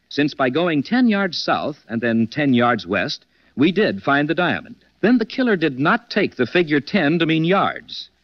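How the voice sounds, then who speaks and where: An actor playing a confident, polished gentleman detective who hosts a 1940s radio mystery. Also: medium pitch, dry recording. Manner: polished, deliberate. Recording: dull vintage 1940s radio recording.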